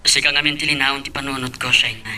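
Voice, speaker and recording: A man's voice: medium pitch, steady tone, very fast, bright, forceful tone. A man with an Ilocano accent playing a lovestruck young architect, earnest and tender, in a romantic audio drama. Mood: earnest, wooing. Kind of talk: Ilocano radio-style romantic drama acting.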